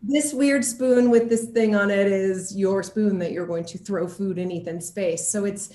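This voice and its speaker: A woman's voice: mid-range voice, steady tone, measured pace, mellow. A woman chatting casually as an interviewed actor, bubbly and giggly, with playful slang, recorded in a room at home. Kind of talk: casual chat in a video interview. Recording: echoey room.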